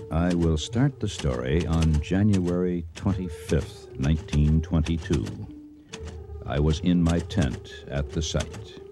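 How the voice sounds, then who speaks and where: An actor playing an earnest archaeologist and expedition leader, speaking in a formal, deliberate way in a radio drama. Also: male voice, low-pitched. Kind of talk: radio drama acting and first-person narration.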